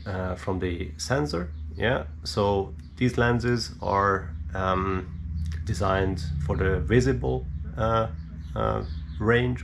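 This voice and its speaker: A man speaks in a low register with a creaky, gravelly edge. He explains equipment as a hobbyist presenter, unscripted and halting, pausing often to think. There is audible noise behind his voice. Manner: hesitant, halting, thinking aloud with many pauses. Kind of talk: unscripted hands-on technical explanation. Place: indoor room at a cluttered worktable.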